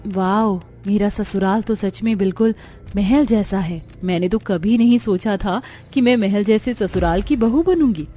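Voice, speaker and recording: A woman's voice: mid-range voice, unhurried, warm tone, soft background music, natural voice. A woman voicing a wide-eyed, hopeful young bride in a cartoon story, gushing and eager. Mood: awed, delighted.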